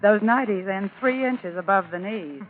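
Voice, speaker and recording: A high-pitched male gossip reporter delivers scripted chat in 1940s radio style. The broadcast is thin and tinny, with faint noise. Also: moderate pace.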